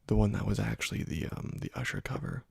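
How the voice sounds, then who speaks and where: A young man talks softly and closely into the mic while playing a game, half-whispering in a laid-back, rambling way. His voice is heavily gravelly and breathy-husky, and the room sound is completely dry. He drifts through long stories padded with fillers. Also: on the low side, huge pitch swings, fast, no echo. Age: young adult. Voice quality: whispery and soft. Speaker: gaming ASMR channel host. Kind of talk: soft-spoken ASMR gameplay commentary. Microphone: close microphone.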